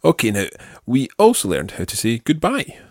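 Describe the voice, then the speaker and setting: A man's voice: mid-range voice, huge pitch swings, moderate pace, dry recording, clean studio sound. A man teaching a language lesson, patiently prompting and carefully repeating phrases. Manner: patient, instructive, carefully enunciated.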